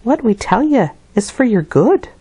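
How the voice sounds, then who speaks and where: A woman voicing a brisk, firm nursemaid in a storybook, admonishing a child. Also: on the high side, big pitch swings, mellow, breathy edge, no room sound, pitched above the performer's own voice, full character voice.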